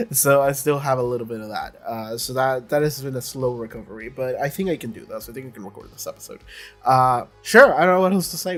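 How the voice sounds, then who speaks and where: A young man with a congested voice, hosting a casual reaction video and chatting bluntly, with plenty of swearing and laughter. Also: medium pitch.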